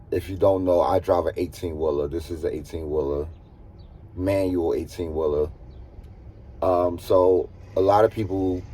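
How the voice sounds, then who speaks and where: A man's voice: sits low, darkish tone. A man in his thirties with an American accent, chatting casually to viewers as a truck driver vlogging, repeating himself for emphasis. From eastern United States. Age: 30s. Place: inside a truck cab. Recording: clear vehicle noise behind voice.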